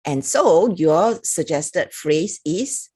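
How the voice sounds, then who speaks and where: Singaporean-accented woman, lower register, relaxed talky reviewer. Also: very slow, breathy edge. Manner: chatty, reads passages aloud with little laughs. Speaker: product reviewer. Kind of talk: casual talk-to-camera review.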